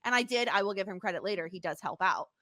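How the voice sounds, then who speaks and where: A woman co-hosting a casual podcast recap, retelling scenes with lively quoted asides. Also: mid-range voice, fast talker. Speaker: podcast co-host. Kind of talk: casual chat, TV episode recap.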